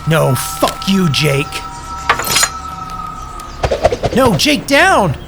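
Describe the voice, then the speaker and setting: An actor playing a bitter, self-pitying wasteland survivor in an audio drama, sarcastic, profane and defensive. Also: male voice, sits high, very animated, slow pace, vocal fry, loud music behind the voice, exaggerated voice. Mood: furious.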